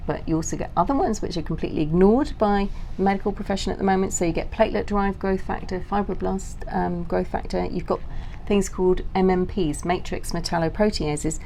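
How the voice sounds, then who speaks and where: A woman with a low-pitched voice talks as an interview guest, working through technical explanations in conversational speech. There is a faint noise behind her voice. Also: darkish tone, low-quality recording.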